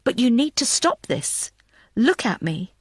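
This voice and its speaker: A woman's voice: fairly high pitch, sing-song, unhurried, breathy edge, no echo, studio quality, exaggerated voice. A woman narrator voicing a blunt, bossy but caring female pathologist in an audiobook, emphatic and exasperated. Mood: exasperated, firm.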